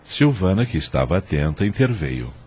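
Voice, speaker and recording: Very deep-voiced Brazilian male narrator. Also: very animated, a little mellow, a little hiss or hum. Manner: measured, formal. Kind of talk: scripted audio drama narration.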